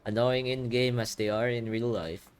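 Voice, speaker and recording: Low-voiced young man, offhand gaming commentary. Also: fairly even intonation, normal speed, heavy background noise. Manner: slangy, offhand. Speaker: gamer, playthrough host. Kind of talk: casual gameplay commentary. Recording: game music behind.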